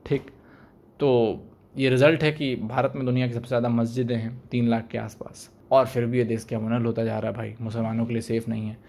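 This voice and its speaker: A man's voice: medium pitch, flat delivery, a little fast, creaky, darkish tone. A young man talking to camera as a video commentator, arguing pointedly and asking rhetorical questions, recorded with a desk microphone in a small room. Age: young adult. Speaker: YouTube commentator. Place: small indoor room, plain wall. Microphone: desk microphone in front.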